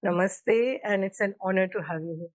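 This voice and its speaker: A woman's voice: medium pitch, fairly quick. A woman hosting an interview podcast, giving formal welcomes and asking questions. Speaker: podcast host.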